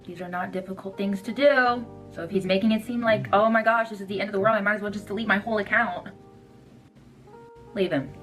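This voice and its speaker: Female, mid-pitched, assertive advice-giver, emphatic delivery, music playing underneath. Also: steady tone, fast talker, low-quality recording. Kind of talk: relationship advice to camera.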